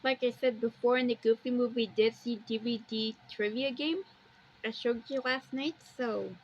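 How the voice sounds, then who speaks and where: A woman with a high-pitched voice hosts a homemade walkthrough in a casual way. She describes what she sees in plain terms and drifts into her own little asides. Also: steady tone, normal speed, highs cut off, slight background noise. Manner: plainly describes pictures, wandering into personal asides. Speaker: amateur video host.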